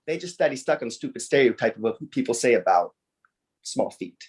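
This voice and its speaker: A man's voice: medium pitch, expressive, a little fast, a little mellow, breathy edge. A man performing comic spoken-word poetry at a slam, blunt and wordy. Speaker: slam poet.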